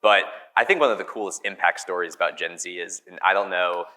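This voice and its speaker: A man's voice: low voice, brisk, pushed and bright. An American man in his twenties, talking casually to a live audience as a conference panelist. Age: 20s. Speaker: conference panelist, company co-founder. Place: indoor conference hall, on stage. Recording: reverberant conference hall.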